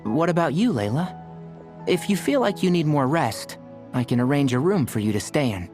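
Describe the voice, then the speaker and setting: A man voicing a gentle, dry-witted young healer who talks calmly and teases lightly. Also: fairly high pitch, moderate pace, soft background music. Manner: calm, lightly teasing. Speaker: doctor.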